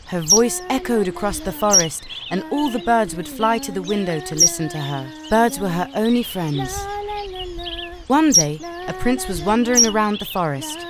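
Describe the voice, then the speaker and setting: A woman narrator reading a scripted fairy tale for children in a storytelling style. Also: mid-range voice.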